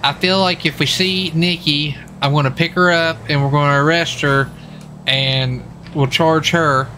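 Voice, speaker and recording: A man's voice: on the high side, fairly even intonation, a little slow, pushed and bright. A man livestreaming a game, chatting casually in a rambling, repetitive way. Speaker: livestreamer and role-play gamer. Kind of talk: casual chat while streaming a game. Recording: faint background noise.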